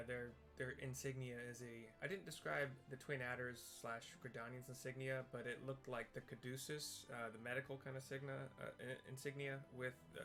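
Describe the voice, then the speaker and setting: A man casually describing video game scenes aloud, explaining step by step in a halting way. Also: medium pitch, pushed and bright. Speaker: gaming streamer narrating for visually impaired viewers.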